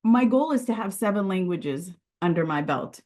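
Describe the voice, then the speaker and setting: A woman whose first language is Sicilian talks in a conversational interview, at a middle pitch. She sounds earnest and reflective and often restarts her phrases. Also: animated, moderate pace, darkish tone. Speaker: podcast guest, regulatory and quality director.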